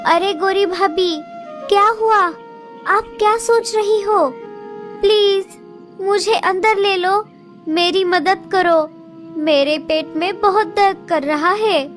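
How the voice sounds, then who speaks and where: A woman voicing a gentle young female sparrow who begs for help in a cartoon story. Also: very high-pitched, slow, soft background music. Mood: pleading. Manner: pleading and anxious. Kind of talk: cartoon voice acting.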